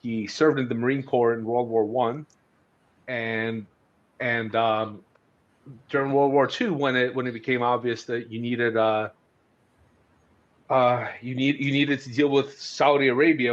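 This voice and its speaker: A man discussing history conversationally on a podcast, speaking haltingly as he thinks aloud. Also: medium pitch, steady tone. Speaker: podcast host discussing books. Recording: dull, lo-fi audio.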